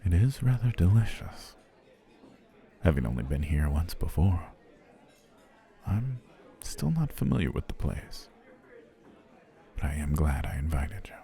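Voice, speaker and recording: Man voicing an obsessive potion seller, very deep voice, slow and soft-spoken, courtly whispers. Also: sing-song, creaky, full character voice. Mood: adoring. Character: obsessive smooth-talking male potion seller, adult. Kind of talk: ASMR roleplay.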